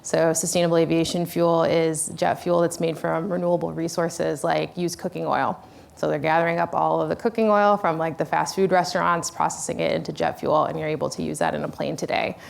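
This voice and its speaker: Woman panelist, deep and creaky, hesitant delivery. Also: steady tone, brisk, slight background noise. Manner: hesitant, with many fillers. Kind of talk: panel presentation.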